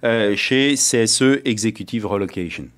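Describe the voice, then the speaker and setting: Male studio host, lower pitch, halting questions, repeats himself. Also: a bit bright. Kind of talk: hosting a studio panel.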